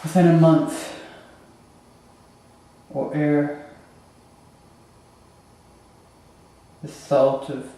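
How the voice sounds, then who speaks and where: A man acting a grieving, bitter young prince in a theatrical Shakespearean monologue, with halting, emphatic delivery and dramatic pauses. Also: on the high side, fairly even intonation, dark tone, a little hiss or hum. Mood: anguished. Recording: echoey room, distant microphone.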